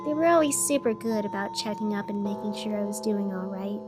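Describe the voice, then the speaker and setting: A woman's voice: medium pitch, fairly quick, close to an everyday voice. A woman playing a weary, lonely radio host, musing wistfully to herself in a scripted radio fiction monologue. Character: weary, lonely, melancholy female radio host in a gray city. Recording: music playing under the voice.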